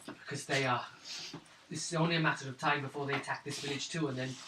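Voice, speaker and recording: An earnest elven woman of a fantasy tabletop game played by a man at a mid male pitch, speaking with urgency and warning. The sound is roomy and distant, with clear background noise. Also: fairly even intonation, quick pace, natural voice. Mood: urgent warning.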